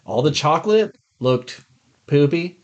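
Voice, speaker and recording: A man's voice: a little high, animated, unhurried, a little mellow, muffled recording. An American man co-hosting a podcast, casually reviewing films with blunt, jokey complaints. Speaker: podcast co-host. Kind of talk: casual film review chat.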